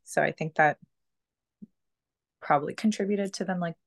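A woman's voice: fairly low pitch, moderate pace, creaky, no room sound, studio quality. A woman in her thirties, a podcast guest talking casually and loosely, full of "like" and "you know.". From Canada. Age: 30s. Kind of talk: casual conversational storytelling.

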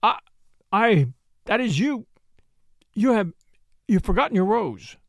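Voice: medium pitch, very animated, normal speed, no room sound, studio quality. Narrator playing a blunt, pious American man. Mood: awkward. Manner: blunt, clipped, earnest.

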